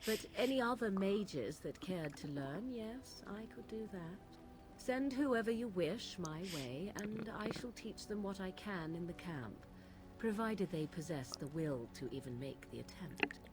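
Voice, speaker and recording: A woman's voice: mid-range voice, lively intonation, unhurried, close to an everyday voice. An actress playing an aloof, haughty young witch of the wilds in a fantasy video game, with precise archaic phrasing. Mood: haughty amusement.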